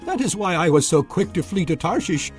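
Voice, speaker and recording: A man's voice: high-pitched, lively intonation, fairly quick. A man playing an anguished, fervent Hebrew prophet in a dramatized Bible reading, declaiming solemnly. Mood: bitter complaint. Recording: echoey long reverb, faint music behind.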